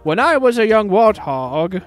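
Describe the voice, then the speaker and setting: A man voicing a good-natured, sensitive warthog with a slow, earnest delivery in a story reading. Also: high-pitched, big pitch swings, faint music behind, voice pushed up. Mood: cheerful. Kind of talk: dramatic fan fiction reading.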